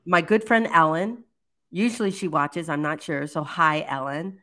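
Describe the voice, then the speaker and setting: An older woman with a low voice, casually co-hosting a live chat show, chatty and laughing easily. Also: moderate pace, pushed and bright. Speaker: livestream co-host.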